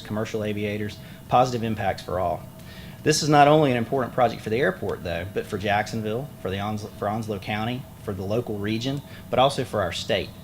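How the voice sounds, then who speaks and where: A man's voice: mid-range voice, a little hiss or hum. A man who is a state aviation official gives a plain-spoken ceremony speech at a podium outdoors, with folksy asides and frequent fillers. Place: outdoor groundbreaking site. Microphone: podium microphone.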